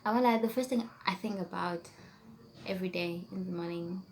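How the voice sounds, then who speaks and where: A woman's voice: lowish voice, brisk, a little hiss or hum. A young woman from South Africa whose first language is Setswana, chatting casually through a tag video and whispering questions as she reads them. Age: young adult. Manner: chatty, rambling, trails off into whispers while reading questions. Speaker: YouTuber.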